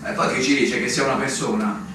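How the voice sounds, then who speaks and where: An actor playing a brooding, solemn army officer in a theatrical radio drama, declamatory with dramatic pauses. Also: male voice, medium pitch, steady tone, lightly acted. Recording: echoey, distant miking, music behind.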